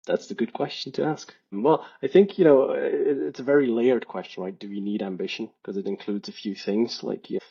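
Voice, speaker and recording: A man's voice: medium pitch, steady tone, fairly quick, creaky, few pauses, mellow, breathy edge, muffled recording. A man from Belgium, a podcast guest and author, talking in a thoughtful, hedging way with many restarts and fillers. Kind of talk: casual reflective conversation.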